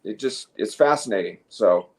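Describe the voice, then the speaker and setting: A man co-hosting a news commentary show, speaking casually and haltingly, opinionated, at times reading from notes. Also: lowish voice, lively intonation. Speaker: co-host.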